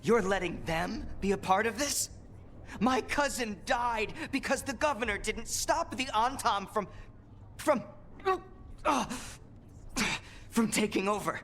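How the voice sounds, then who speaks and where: An embittered young dissenter in a game. The delivery is emotional and upset, with faint ambience around it. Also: male voice, high voice, takes it slowly, fairly bright tone, a little hiss or hum, exaggerated voice. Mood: angry, hurt. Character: bitter, upset young dissenter named Jacobus. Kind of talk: emotional video game acting.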